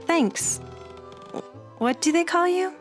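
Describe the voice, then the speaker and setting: A woman playing an earnest, gentle, admiring companion in a radio drama, with an eager manner. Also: extremely high pitch, lively intonation, takes it slowly, soft background music, full character voice. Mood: gentle, encouraging.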